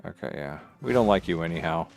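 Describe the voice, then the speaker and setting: A man's voice: low-pitched, animated, fast talker, vocal fry. A man hosting a livestream, casually commentating and half talking to himself as he plays a video game. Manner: rambling, talks to himself while playing. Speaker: livestream host. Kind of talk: casual gaming stream commentary. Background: game music.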